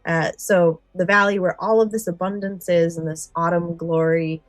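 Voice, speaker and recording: Low-voiced woman, enthusiastic podcast host. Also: flat delivery, normal speed, no echo, soft background music. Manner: enthusiastic, explanatory. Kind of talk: podcast discussion, part prepared book summary.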